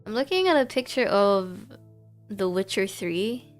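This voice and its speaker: A woman streams a game in a playful, casual way, reading narration aloud and dropping in teasing asides. Her voice sits at a middling pitch, with music running behind it. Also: lively intonation, unhurried. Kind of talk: casual livestream chat mixed with reading game text aloud.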